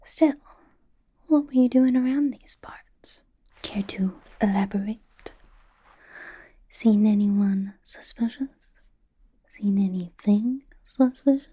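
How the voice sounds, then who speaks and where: A woman playing a chatty, suspicious young superheroine in a soft, breathy ASMR whisper, rambling through a playful interrogation. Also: medium pitch, slow pace, pauses often. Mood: curious, probing. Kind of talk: ASMR roleplay, mostly whispered personal attention.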